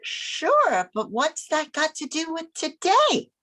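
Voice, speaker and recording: Excitable young daughter, voiced by a woman: extremely high, animated, for a vintage radio soap. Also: slow pace, exaggerated voice. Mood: curious. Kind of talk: old-time radio drama acting.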